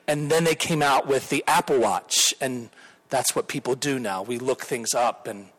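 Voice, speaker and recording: A pastor in his thirties speaks earnestly at church, introducing the evening's guest and then leading a prayer. His pitch is ordinary for a man, and his sentences wander and restart as he goes. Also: moderate pace, fairly bright tone, poor audio.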